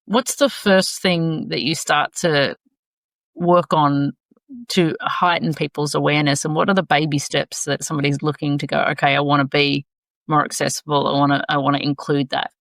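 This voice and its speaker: Friendly conversational host, gravelly voice, mid pitch. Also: flat delivery, normal speed, fairly bright tone, no echo. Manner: friendly, conversational, laughs along. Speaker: podcast host. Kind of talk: podcast interview.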